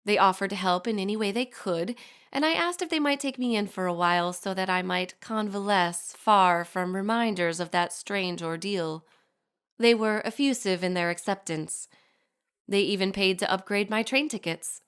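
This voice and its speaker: A woman's voice: mid-range voice, normal speed, fairly bright tone, clear, resonant tone, natural voice. A woman playing a genteel young society lady in an audio drama, reading her own letter aloud with formal, refined diction. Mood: composed, confiding.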